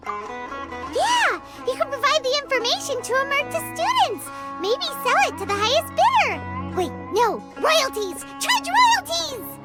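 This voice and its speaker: A woman voicing a tiny, pushy, childlike fairy companion with a squeaky voice in a video game. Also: wildly swooping pitch, measured pace, loud music behind the voice, exaggerated voice. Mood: excited. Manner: exclamatory, refers to herself in third person. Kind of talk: English video game voice acting.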